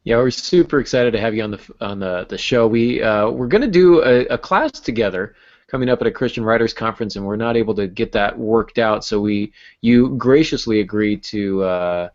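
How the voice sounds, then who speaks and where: A man with a fairly low voice co-hosts a podcast. He chats casually and tells things in a personal, conversational way. The recording is a little dull and lo-fi. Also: expressive, brisk, a little mellow. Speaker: podcast co-host. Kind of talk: casual chat.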